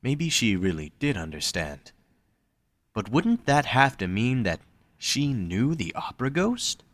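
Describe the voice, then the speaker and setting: A man's voice: medium pitch, sing-song, a little slow. A man reading scripted story narration for an audio drama.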